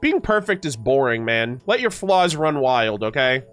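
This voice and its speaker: A woman's voice: very deep voice, big pitch swings, unhurried. Slangy young woman streamer, music faintly behind. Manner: slangy, sweary, informal. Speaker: reaction streamer. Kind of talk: casual, excitable game commentary.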